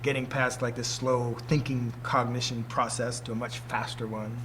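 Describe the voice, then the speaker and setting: A man's voice: mid-range voice, level tone, moderate pace, fairly bright tone, a little hiss or hum. A man in his sixties, a martial arts teacher, giving an informal talk to students, emphatic and fond of rhetorical questions. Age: 60s.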